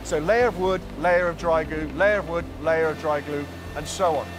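A man in his forties leading a tour of a large factory floor, explaining each production step in a calm, clear way. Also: on the high side, moderate pace, noisy background. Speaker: factory guide. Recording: music bed under voice.

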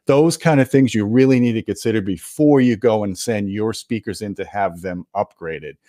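A man's voice: on the low side, moderate pace, mellow, no room sound, clean studio sound. A man hosting an audio gear video, explaining things to viewers in an emphatic, opinionated, conversational way. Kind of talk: explanatory product commentary to viewers.

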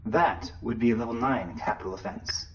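A man plays a pedantic spaceship computer in a sci-fi audio drama. It speaks formally and precisely at middle pitch, through a filter that sounds muffled with faint noise. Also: moderate pace, a bit bright. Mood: officious, matter-of-fact. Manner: precise, officious, wordy. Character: formal, pedantic, matter-of-fact shipboard computer. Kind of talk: science-fiction audio drama acting.

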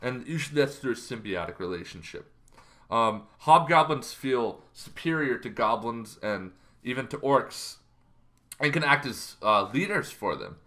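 A man in his thirties explaining things casually as a show host, in an informal, halting way. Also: medium pitch, lively intonation, sharp tone. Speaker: host of a tabletop roleplaying lore show. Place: bedroom, indoors.

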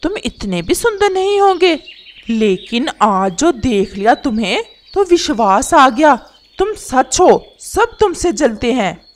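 A scheming male owl from a cartoon, played by a woman, with slow delivery and lively swings in pitch. He is flattering on the surface, mocking and vengeful underneath. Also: heavily acted.

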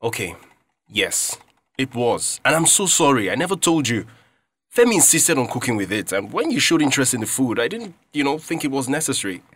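A man's voice: medium pitch, big pitch swings, fairly quick, fairly bright tone, no room sound. A man playing a guilty, apologetic young friend with a Nigerian accent, speaking hesitantly and pleadingly in a radio drama.

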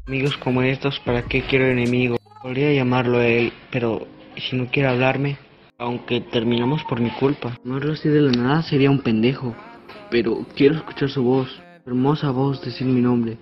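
A man's voice: medium pitch, flat delivery, brisk, dull audio, soft background music, natural voice. A man with a Mexican accent voicing a foul-mouthed, lovelorn, self-pitying young Mexican man in a fan-dubbed comic. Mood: lonely, wistful. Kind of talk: fandub comic voice acting.

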